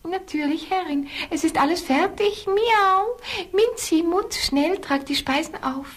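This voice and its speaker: Squeaky meowing cat maid. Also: female voice, animated, unhurried. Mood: eager. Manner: meows between phrases. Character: eager dutiful female cat parlour maid. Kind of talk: fairy tale audio play acting.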